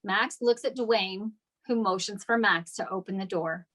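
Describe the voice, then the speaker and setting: A woman reads scripted stage directions as a narrator. Her voice sits at a medium pitch. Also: unhurried, fairly bright tone.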